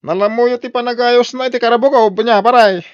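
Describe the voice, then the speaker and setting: A man's voice: very high-pitched, very animated, normal speed, bright, forceful tone, clean tone, no echo, muffled recording, exaggerated voice. A man with an Ilocano accent playing a hard-drinking husband in an audio drama, boisterous with friends, belligerent or sheepish at home.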